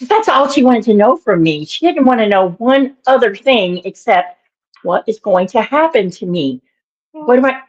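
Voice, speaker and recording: A woman in her sixties with a naturally raspy voice and an allergy-irritated throat she keeps clearing, telling a story in an interview in a halting, meandering way. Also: fairly high pitch, lo-fi sound. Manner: meandering, halting, with many fillers and restarts. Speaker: guest, minister and author.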